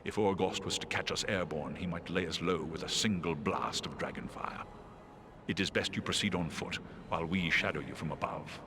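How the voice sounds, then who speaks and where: A majestic giant eagle in a game cutscene. The voice is hugely deep and solemn, choosing formal words with grave caution over background ambience. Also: male voice, moderate pace, noisy background. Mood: grave, cautious. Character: great eagle, noble, grave and watchful. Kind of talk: video game cutscene acting.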